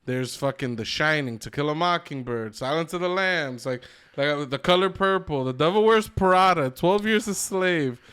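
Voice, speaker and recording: A man's voice: fairly high pitch, animated, normal speed, hardly any pauses, fairly bright tone, clear, resonant tone. Emphatic man bantering on a podcast. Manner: emphatic, hyperbolic, slangy and profane. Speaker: podcast co-host. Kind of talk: casual podcast banter about movies.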